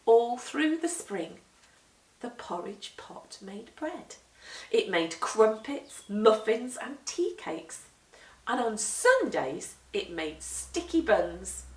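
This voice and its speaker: A woman reading a children's picture book aloud, with deliberate, animated and emphatic storytelling. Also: a little high. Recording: roomy echo, distant microphone.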